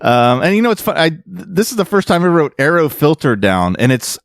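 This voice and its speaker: A man hosting a casual panel podcast, telling fan lore in a jokey, animated storytelling way. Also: fairly high pitch, fairly quick, vocal fry, no room sound, clean studio sound. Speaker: podcast host.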